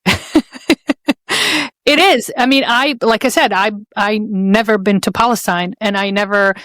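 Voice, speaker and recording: A woman's voice: mid-range voice, unhurried, fairly bright tone, dry recording. Earnest woman host, dry close sound. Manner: earnest, rambling, heartfelt. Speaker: podcast host. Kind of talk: casual interview chat.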